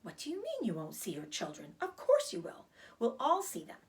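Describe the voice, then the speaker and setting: A woman's voice: a little high, huge pitch swings, moderate pace, voice pushed up. A woman voicing an eager, innocent young male pig in a children's storybook, earnest and full of curious questions. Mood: excited, insistent. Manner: wide-eyed, earnest, questioning. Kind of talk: children's storybook read-aloud.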